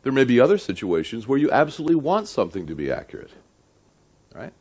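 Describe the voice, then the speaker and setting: A middle-aged man lecturing at a seminar in a deliberate, emphatic but conversational way, often checking in with his listeners. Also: mid-range voice, huge pitch swings, a little mellow, breathy edge. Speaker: audio engineering lecturer.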